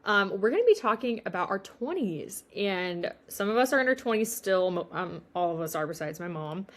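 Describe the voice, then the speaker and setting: A woman's voice: mid-range voice, big pitch swings, normal speed. A woman in her late twenties hosting a family podcast, chatty and steering the talk with plenty of fillers. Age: 20s. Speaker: podcast host.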